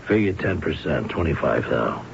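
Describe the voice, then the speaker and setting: An actor playing a cold, menacing older bookie in a 1970s radio drama, gravelly, blunt and unhurried in his threats. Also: very deep voice, expressive, heavily acted. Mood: wary, businesslike. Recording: dull, noisy old radio recording.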